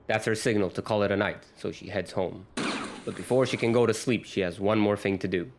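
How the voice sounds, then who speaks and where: A man's voice: lowish voice, steady tone, a little fast, slight background noise. A man telling a scripted story as its narrator.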